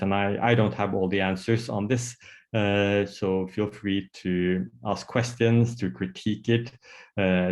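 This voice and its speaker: A man with a Norwegian accent presents a workshop over a video call, his voice sitting a little low. He talks in a hesitant way, full of fillers and restarts. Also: a little mellow. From Norway. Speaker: psychiatrist giving a workshop talk.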